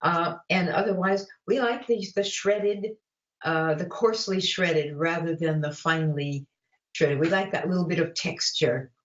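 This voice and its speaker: A woman's voice: lowish voice, unhurried, reverberant, muffled recording. A woman in her late seventies, a guest chatting casually about cooking, with many fillers and restarts. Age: 70s. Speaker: guest, vegan cookbook author and cooking teacher. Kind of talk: casual interview chat.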